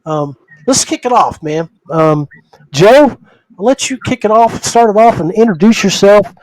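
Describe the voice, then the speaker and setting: A man's voice: sits high, expressive, moderate pace, darkish tone, no room sound, rough recording. A man hosting a livestream podcast over a video call, chatting informally and chummily with his guests. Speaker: podcast host. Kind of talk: casual livestream podcast chat.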